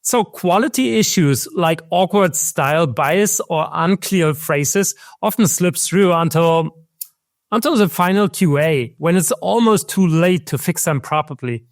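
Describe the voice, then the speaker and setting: A man in his forties hosting a video-call interview, asking crisp, structured questions from a home office. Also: on the high side, lively intonation, a little slow, dry recording, studio quality. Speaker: host. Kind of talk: scripted interview host. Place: home office with world map on wall. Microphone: video call webcam.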